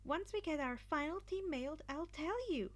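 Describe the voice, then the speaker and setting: A woman's voice: high voice, lively intonation, moderate pace, pitched above the performer's own voice. A woman voicing an earnest, upbeat teenage boy who plans carefully, in an audiobook of fan fiction. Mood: calm, helpful. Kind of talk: acted fan fiction dialogue.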